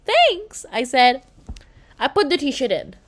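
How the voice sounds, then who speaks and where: High-voiced woman reading a children's story aloud. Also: measured pace. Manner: expressive, with slips and self-corrections. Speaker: children's book reader.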